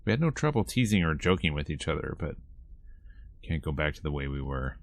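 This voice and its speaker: Deep-voiced guy reading a game aloud. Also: big pitch swings, a little fast, creaky, no room sound. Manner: breaks off into wry asides and reactions. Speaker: streamer playing and reading a visual novel aloud. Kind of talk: reading game dialogue aloud with casual commentary.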